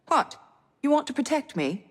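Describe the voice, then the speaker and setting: A woman's voice: high voice, sing-song, moderate pace, big-room sound. An actress playing a proud young noblewoman seeking revenge, speaking formally and pointedly in a dramatic video game. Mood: skeptical.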